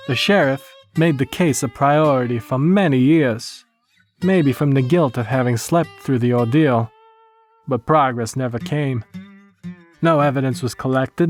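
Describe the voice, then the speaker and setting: A man's voice: medium pitch, natural voice. A man voicing a haunted Old West townsman with a Louisiana accent, narrating a horror story in a solemn, old-fashioned way. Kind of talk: scripted first-person horror narration.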